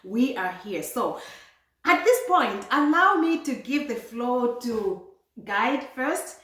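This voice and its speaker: A woman's voice: on the high side, sing-song, slow, a bit bright. A woman from Malawi, hosting a talk show in an earnest way and laughing between phrases. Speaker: host and empowerment coach. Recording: echoey room.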